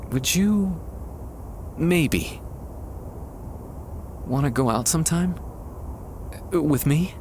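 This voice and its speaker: A man playing a shy, tender young man confessing love to a childhood friend, hesitant and soft-hearted, in an intimate ASMR roleplay. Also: medium pitch, a little hiss or hum. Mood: nervous, earnest. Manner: soft-hearted, hesitant, halting with nervous chuckles. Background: quiet park ambience.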